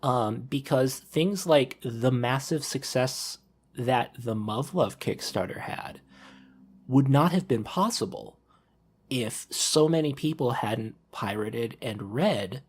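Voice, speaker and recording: A man's voice: mid-range voice, a little mellow, husky. A man talking casually on a podcast panel, rambling a little and repeating words as he thinks aloud.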